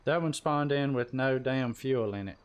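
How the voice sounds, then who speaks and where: A man's voice: mid-range voice, normal speed, clear, resonant tone. A man chatting casually as he hosts a live game stream, talking to teammates and viewers. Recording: noisy game-stream audio, vehicle sounds behind.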